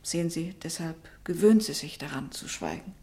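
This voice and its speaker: A woman's voice: low voice, dark tone, natural voice. An actress playing a genteel lady companion and worried confidante, speaking carefully and formally in a German radio drama. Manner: measured, careful, formal and literary speech. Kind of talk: German radio play acting.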